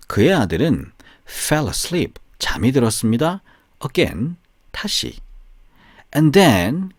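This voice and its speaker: A man narrating a scripted bilingual fairy tale for language learners, deliberately, phrase by phrase, in a storytelling delivery. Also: mid-range voice, breathy edge, dry recording, studio quality. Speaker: audiobook narrator.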